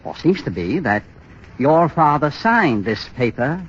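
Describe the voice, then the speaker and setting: An actor playing a desperate, menacing moneylender in a 1930s radio drama, insistent and coldly insinuating. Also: male voice, a little high, very animated, measured pace, slightly rough, exaggerated voice. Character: desperate, menacing bank clerk and blackmailing moneylender. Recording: muffled, scratchy 1930s radio broadcast.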